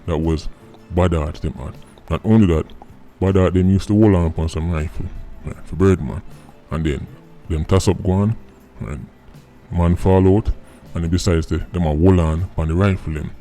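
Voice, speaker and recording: A man's voice: deep voice, warm tone. A Jamaican man giving explanatory commentary as a vlog host, emphatic and often checking in with "right". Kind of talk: explanatory commentary in Patois-mixed English. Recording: music under the voice.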